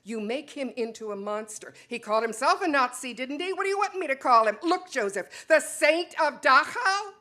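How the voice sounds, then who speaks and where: A woman performing an earnest, conflicted Mennonite wife in a dramatic stage reading, halting and emotional. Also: sits high, animated, pushed and bright, pitched above the performer's own voice. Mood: indignant, hurt.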